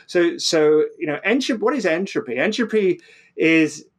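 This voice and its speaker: A man's voice: fairly high pitch, lively intonation, moderate pace. A man explaining physics conversationally as an interview guest, often repeating words and restarting mid-phrase.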